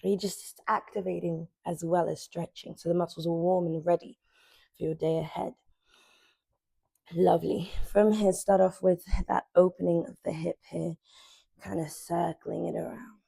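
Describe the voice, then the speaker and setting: A woman in her twenties guiding a morning stretching class, cueing softly and unhurriedly as she moves. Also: fairly low pitch, steady tone, moderate pace, vocal fry, breathy edge, no echo. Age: 20s. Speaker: yoga instructor. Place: sunlit living room with large windows.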